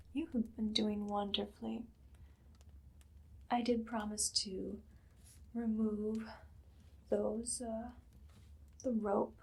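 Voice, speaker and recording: Woman playing a breathy, whispery evil queen. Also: on the high side, full character voice. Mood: condescending, commanding. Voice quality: breathy, slipping often into whisper. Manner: imperious, deliberate, sweetly sinister. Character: evil haughty queen, casually menacing, commanding a captive servant. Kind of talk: ASMR roleplay, soft-spoken and whispered.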